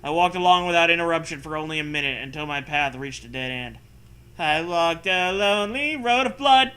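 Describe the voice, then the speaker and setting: A man's voice: on the high side, animated, brisk, bright, forceful tone, clean tone. A man doing a mocking dramatic reading with casual riffing, sarcastic, profane and prone to hammy emphasis. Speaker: comedy co-host reading fan fiction aloud.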